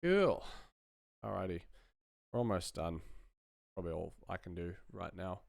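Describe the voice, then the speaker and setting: A man's voice: sits low, big pitch swings, moderate pace, creaky, darkish tone, no room sound, clean studio sound. A man working as a sound designer, muttering casual think-aloud commentary to himself as he works. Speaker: sound designer live-streaming his work.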